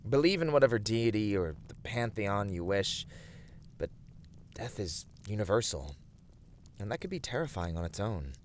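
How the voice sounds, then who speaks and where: A man's voice: on the low side, big pitch swings, moderate pace, slight background noise. A man playing an earnest, courteous fantasy adventurer in an ASMR roleplay, speaking in formal, thoughtful, slightly hesitant phrases. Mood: somber.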